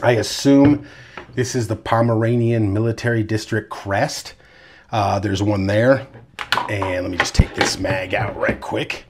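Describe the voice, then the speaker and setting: A man's voice: fairly low pitch, a little slow. A man with a congested voice from a cold, hosting his channel and chatting loosely through a product review. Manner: loose and chatty, with fillers and asides. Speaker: firearms reviewer, channel host.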